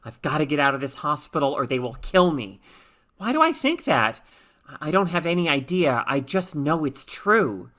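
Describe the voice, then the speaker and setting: A woman's voice: sits low, big pitch swings, normal speed, a bit bright. A woman voicing a frightened, bewildered teenage girl in a story reading, urgent and questioning. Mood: anxious, urgent.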